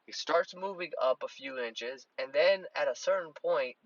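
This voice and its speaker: A man's voice: on the high side, moderate pace, no echo, lo-fi sound. A man who hosts a podcast as a strength coach, explaining training in a casual, conversational way, often restarting his sentences. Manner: conversational, many restarts, hand-waving examples.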